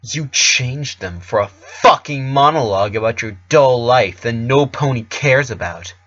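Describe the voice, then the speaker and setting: A man's voice: medium pitch, expressive, unhurried, fairly bright tone, dry recording, lo-fi sound. A man voicing a domineering, taunting female pony villain, a diva alter ego who goads and harangues in an audio story. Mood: fierce, rousing.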